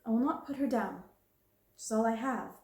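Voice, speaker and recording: A woman voicing a proud orphaned little girl who speaks quietly and steadily in a storybook reading. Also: fairly high pitch, moderate pace, roomy sound, close to an everyday voice. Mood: grave.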